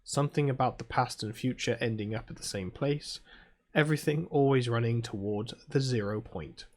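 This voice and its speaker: Soft-spoken British man, chilled delivery. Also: medium pitch, moderate pace. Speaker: gaming video host. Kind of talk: reading visual novel text aloud with casual asides.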